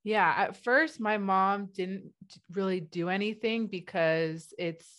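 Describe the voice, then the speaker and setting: A woman's voice: mid-range voice, slow pace, a bit bright. A woman whose first language is Hebrew, a guest on an interview podcast, speaking with a stutter, with repeated sounds and restarts, from her home office over a video call. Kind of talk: interview conversation.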